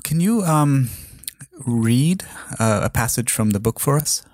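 A man hosting an interview, asking measured, halting questions. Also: medium pitch, expressive, background noise. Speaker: interviewer host. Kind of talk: interview conversation.

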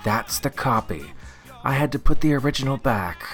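A man acts out a time-travelling rogue pilot in an audio drama. He is casual and defensive, his pitch moving about animatedly as he protests, with music playing behind him. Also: animated, normal speed, a bit bright, close to an everyday voice. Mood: sheepish. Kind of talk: full-cast audio drama acting.

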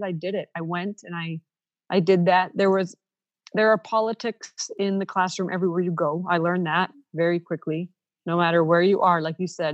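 A Canadian woman in her thirties whose first language is Ukrainian, telling her story candidly on a podcast with quick asides. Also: on the low side, no room sound, highs cut off. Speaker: podcast co-host. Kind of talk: casual podcast conversation.

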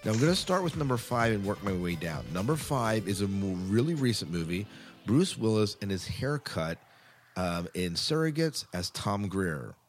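Low-voiced man hosting a casual podcast. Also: very animated, moderate pace, faint music behind. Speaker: podcast co-host. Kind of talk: casual podcast talk to listeners.

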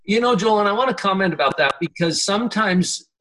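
A man's voice: sits high, expressive, brisk, pushed and bright, poor audio. A man hosting an interview who keeps affirming his guest and summing up what the guest has said. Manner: affirming, sums up the guest's points. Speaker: interview host. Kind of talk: conversational interview.

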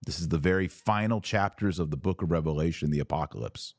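A man with a low voice delivers opinionated religious commentary as a podcast host. He teaches emphatically, posing rhetorical questions and sometimes reading aloud. The sound is dry, with very little room around the voice. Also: quick pace, darkish tone, no room sound. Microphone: close mic at a webcam setup.

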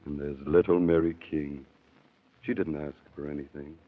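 An actor playing a kindly old captive who pleads earnestly in rhyme, in a 1930s radio play. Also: male voice, a bass voice, flat delivery, moderate pace. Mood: pleading, sorrowful. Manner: earnest, beseeching rhymed delivery. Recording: thin, narrow-band 1930s radio recording.

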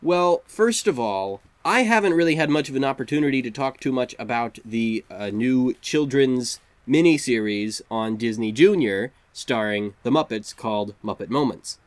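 Joking co-host with a sick, low voice. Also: male voice, lively intonation, normal speed, few pauses, no room sound. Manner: dry joking banter. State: recovering from illness, lower voice. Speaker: podcast co-host. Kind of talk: casual podcast chat.

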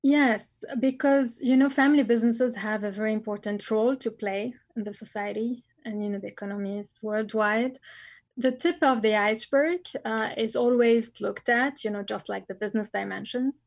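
Gravelly-voiced woman scholar, dry sound. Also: a little high, normal speed, no echo. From Lebanon. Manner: thoughtful, many fillers and restarts. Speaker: family business scholar. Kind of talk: interview answers.

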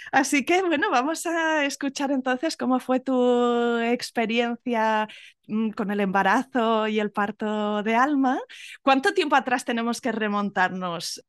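A woman hosting a podcast, welcoming her guest and asking gentle questions. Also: a little high, a little slow. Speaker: podcast host. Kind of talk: podcast interview.

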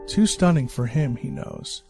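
A man's voice: medium pitch, huge pitch swings, a little slow, vocal fry, mellow. A man narrating a short story with wry, arch asides, with faint music behind him.

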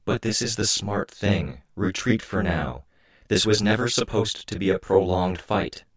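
Man voicing a clipped, angry swarm-voiced heroine, raised pitch. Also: measured pace, dry recording. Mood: firm. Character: angry, commanding heroine speaking through a swarm of bugs.

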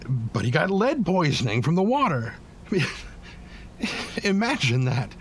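Weary, higher-voiced widowed father. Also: sing-song, fairly bright tone, a little hiss or hum. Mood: grieving, bitter. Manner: halting, plain-spoken, casual. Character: weary widowed working-class father, bitter yet devoted. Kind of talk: naturalistic audio drama acting.

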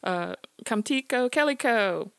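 A man's voice: sits high, lively intonation, unhurried, vocal fry, fairly bright tone, clear, resonant tone, no room sound, clean studio sound, voice pushed up, full character voice. A woman playing a scared old Southern mountain man, hollering for his dogs with a Southern drawl.

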